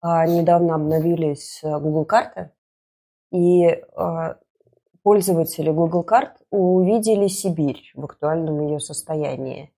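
A woman's voice: low-pitched, fairly even intonation, a little slow, warm tone, breathy edge. A woman co-hosting a talk show, giving news commentary and casual banter in a wry, ironic way. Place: studio.

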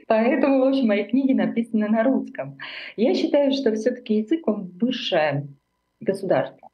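A woman's voice: on the high side, sing-song, moderate pace, lo-fi sound. A middle-aged woman from Armenia, a writer speaking as an interview guest in a reflective, searching way, full of fillers and self-corrections.

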